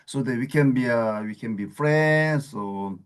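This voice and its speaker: A man offers informal, heartfelt remarks on an online call. His voice is mid-pitched, and he speaks haltingly in simple, non-native phrasing. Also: clean tone.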